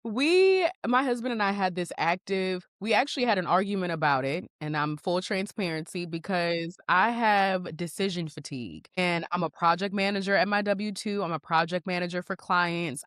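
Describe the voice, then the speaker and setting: Sassy, animated woman in dry podcast sound. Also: on the low side, dry recording. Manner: animated, sassy, emphatic rhetorical asides. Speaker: podcast guest, project manager. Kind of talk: casual podcast chat.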